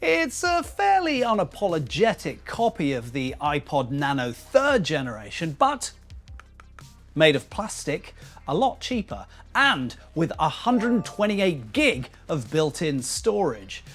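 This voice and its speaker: A man's voice: a little high, huge pitch swings, slow pace, a bit bright, faint music behind. A middle-aged man narrating a scripted vlog as host, chatty and self-deprecating, with wry asides. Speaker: YouTube host.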